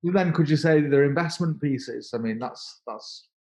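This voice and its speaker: Halting man in his thirties. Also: on the high side, a little mellow. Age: 30s. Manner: halting, repeats words and restarts often. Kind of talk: casual interview conversation.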